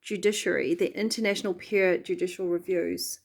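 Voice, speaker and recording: A woman's voice: lowish voice, steady tone, normal speed, vocal fry. Chatty woman with a New Zealand accent. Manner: chatty, teasing, prompting questions. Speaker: co-host. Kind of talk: casual political chat. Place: Christchurch.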